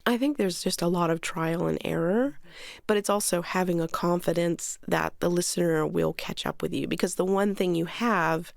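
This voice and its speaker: A woman's voice: on the low side, gravelly, no echo, studio quality. A woman explaining things in an interview as an audio editor.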